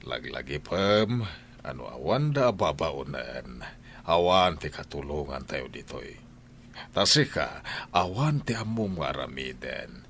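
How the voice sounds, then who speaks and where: A man's voice: extremely low pitch, sing-song, creaky, a bit bright, slightly rough, heavily acted. A man playing a stern, domineering husband and head of the household in an audio drama, lecturing in an Ilocano Filipino accent. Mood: blunt, reproachful. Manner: slow, lecturing, commanding.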